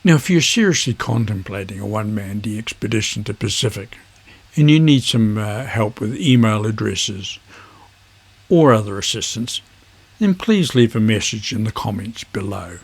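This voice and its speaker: A man with a husky voice, presenting an instructional vlog in measured phrases. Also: on the low side, lively intonation. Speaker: amateur radio video host.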